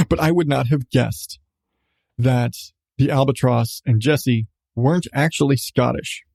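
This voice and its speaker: A man hosting a podcast, giving a casual, rambling review with halting starts, repeated phrases and an irreverent, sweary manner. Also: medium pitch, gravelly, a little mellow, dry recording, clean studio sound.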